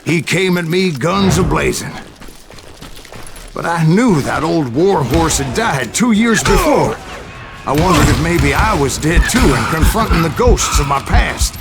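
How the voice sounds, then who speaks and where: Old west gunfighter spinning tall tales, theatrical, loud music behind. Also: male voice, a little high, animated, measured pace, gravelly. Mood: haunted, dramatic. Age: older. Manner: theatrical frontier storyteller. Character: haunted, stubborn old gunslinger telling tall tales. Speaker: narrator. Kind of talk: dramatic storytelling narration in a western video game.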